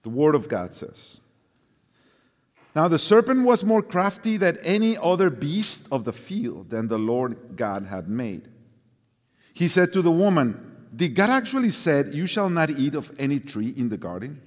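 A man with a Mexican accent, serving as guest preacher, reading scripture aloud in a lively voice and then speaking to the congregation with some hesitant fillers. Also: on the high side, unhurried. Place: large church sanctuary. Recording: long hall reverb.

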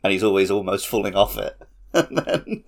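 A man chatting casually as a podcast host, telling stories in an animated, laughter-prone way. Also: lowish voice, normal speed, gravelly. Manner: animated storytelling with frequent laughter. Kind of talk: casual chat.

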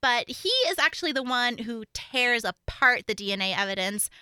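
High, bright, animated American woman, giggly podcaster. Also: normal speed, clean tone, no echo, clean studio sound. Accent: American, occasional Oklahoma Southern twang. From Oklahoma, US. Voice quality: high-pitched voice. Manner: giggly, animated, informal with frequent "like". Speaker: podcast co-host. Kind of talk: casual true-crime podcast chat.

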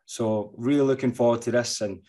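A man's voice: medium pitch, steady tone, fairly quick, warm tone. Eager middle-aged man hosting an interview. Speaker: podcast host. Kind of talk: interview host, casual.

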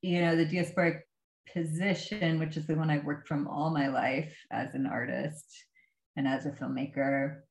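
A woman filmmaker and artist answering interview questions in a measured, precise way, breaking into soft laughs. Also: deep voice, steady tone, vocal fry, roomy sound, low-quality recording. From diaspora of Afghanistan, grew up abroad.

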